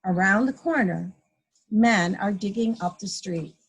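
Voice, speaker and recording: A woman's voice: mid-range voice, measured pace, slightly rough, rough recording. A woman reading a picture book aloud to young children, explaining things simply and playfully acting out sound effects. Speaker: guest storybook reader, a mother. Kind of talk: read-aloud children's story time, simple teacherly explaining.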